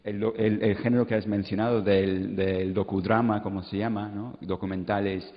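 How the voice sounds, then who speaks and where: Low-voiced hesitant man in a hall. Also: level tone, moderate pace. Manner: hesitant, searching for words. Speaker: radio presenter on conference panel. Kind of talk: informal, improvised panel answer. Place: conference hall, amplified event. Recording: muffled, reverberant hall recording.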